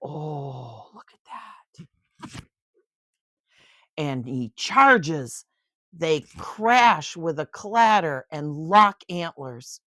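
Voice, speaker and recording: An older woman reading a children's picture book aloud in an expressive, unhurried way, with chatty asides of wonder. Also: deep voice, no room sound, studio quality.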